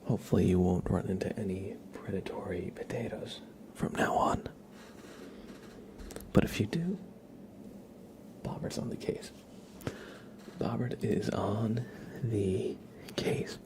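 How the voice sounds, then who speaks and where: A man whispering slowly and playfully in an ASMR roleplay style, telling absurd stories with a straight face. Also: lowish voice, steady tone. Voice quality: breathy whisper with creaky edges. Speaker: ASMR livestream host.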